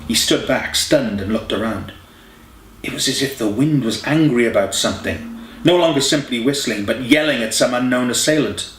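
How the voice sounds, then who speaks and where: A man's voice: mid-range voice, normal speed. Man narrating an audiobook in an echoey room. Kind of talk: scripted story narration. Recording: roomy, echoey home recording.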